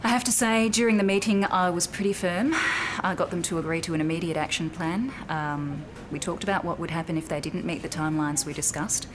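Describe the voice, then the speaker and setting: Over clear background noise, an actress plays a composed female bank officer in a training drama. Her voice sits a little low, and she explains things in a measured way, firm yet sympathetic, pausing as she goes. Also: fairly even intonation, lightly acted. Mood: measured, reflective. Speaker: actor in a corporate training drama. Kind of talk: naturalistic acted workplace dialogue. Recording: clearly noisy location sound with room ambience.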